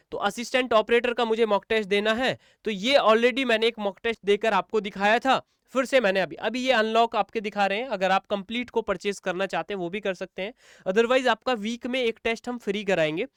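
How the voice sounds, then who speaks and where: Guy teacher, smooth voice, dry close sound, walks students thru stuff step by step, asks n answers his own questions. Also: high-pitched, a bit bright, no echo. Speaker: exam coaching teacher. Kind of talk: informal instructional walkthrough for students.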